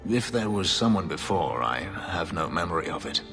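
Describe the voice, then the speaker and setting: A man playing a guarded, brooding elf and former slave in a video game, with a solemn, halting delivery. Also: big pitch swings, vocal fry, exaggerated voice. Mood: guarded, tender. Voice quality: deep, low voice. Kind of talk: dramatic video game dialogue. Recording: reverberant hall sound with music underneath.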